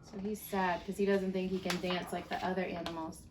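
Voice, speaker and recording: A woman reading a storybook aloud to young children in an expressive, singsong way, pausing for little asides. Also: fairly low pitch, slight background noise.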